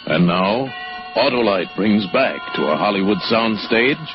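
Dramatic vintage radio announcer over loud music. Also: male voice, lowish voice, animated, measured pace. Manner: emphatic, formal, dramatic. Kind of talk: 1940s dramatic scripted announcement. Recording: dull old radio recording, loud music.

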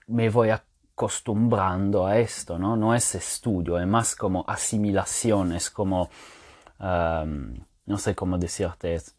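A man's voice: deep voice, a little mellow. A man whose first language is French, giving a casual spoken language lesson, thinking aloud with many fillers and restarts as he explains patiently. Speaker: language teacher podcaster.

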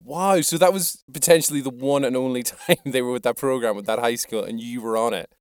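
A man's voice: medium pitch, a little fast, clear, resonant tone, dry recording. Curious man interviewing, close dry sound. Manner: reactive, curious, conversational. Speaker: interviewer, host. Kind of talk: casual interview chat.

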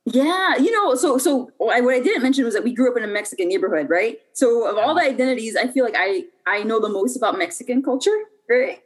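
A woman's voice: fairly high pitch, expressive, quick pace, few pauses. A young adult woman from Puerto Rico, a podcast guest telling her life story in a casual, chatty way with lots of fillers and restarts.

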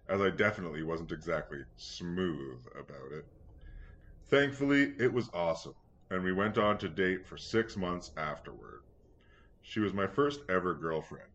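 A man reading a scripted first-person story in a measured, earnest manner. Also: sits low, fairly bright tone. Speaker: story reader. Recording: dull lo-fi sound, clear background noise.

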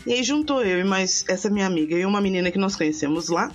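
A woman in her thirties tells a rambling podcast story at an easy middle pitch, circling back and restarting her sentences as she goes. Faint music sits low under her voice. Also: fast talker. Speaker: podcast host. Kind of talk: casual storytelling chat.

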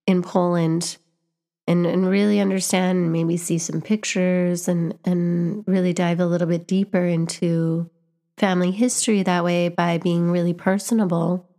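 A woman's voice: on the low side, flat delivery, normal speed, mellow. A young woman from Canada talking earnestly and reflectively to camera, often restarting and repeating words as she searches for what to say. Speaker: vlogger and teacher. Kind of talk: reflective personal vlog monologue.

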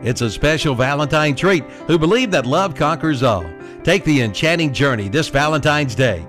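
A man's voice: mid-range voice, a bit bright. A man reading scripted local radio commercials as an announcer. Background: music bed.

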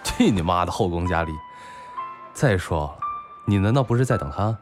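An actor playing a brash, bantering, foul-mouthed bar owner and loyal buddy in a Chinese audio drama. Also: male voice, sits low, lively intonation, moderate pace, music in the background.

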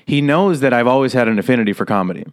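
A man's voice: medium pitch, expressive, rapid-fire. A man in his thirties from Las Vegas, telling his story as a comedian guest in a casual interview, rambling, laughing and swearing as he goes. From Las Vegas, USA.

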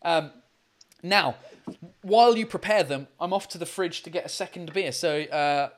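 A man co-hosting a livestream quiz, chatting casually with viewers in a loud, laughing, swearing style full of fillers. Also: on the high side, moderate pace, slight background noise. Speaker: livestream co-host running a quiz.

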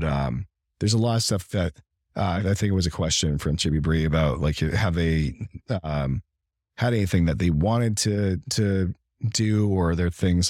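A man co-hosting a casual gaming livestream, talking in a halting, rambling way as his voice gives out. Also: low-pitched, darkish tone, no echo, clean studio sound. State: losing his voice. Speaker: livestream and podcast co-host.

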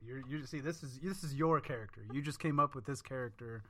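A woman's voice: very deep voice, brisk, a bit bright. A young woman co-hosting a podcast, joking crudely and swearing a lot while laughing. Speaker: podcast co-host. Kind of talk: casual chat.

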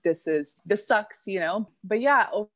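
A woman's voice: mid-range voice, expressive, measured pace. A young woman, a medical student, speaking as a podcast guest in a candid, hesitant way with many fillers. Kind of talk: casual podcast interview.

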